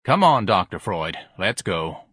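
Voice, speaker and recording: A man's voice: low voice, sing-song, a little slow, bright, forceful tone, breathy edge, dry recording, heavily acted. A man voicing an irritable, sarcastic businessman husband in an audiobook, dry and dismissive. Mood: weary, dismissive.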